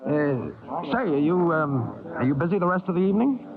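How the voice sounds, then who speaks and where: Pushy male agitator, high voice, swooping intonation, old radio drama, tinny broadcast. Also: big pitch swings, a little fast, crowd noise. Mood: chummy, ingratiating. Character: pushy, discontented male civil defense volunteer, outspoken agitator. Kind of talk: 1950s radio drama acting.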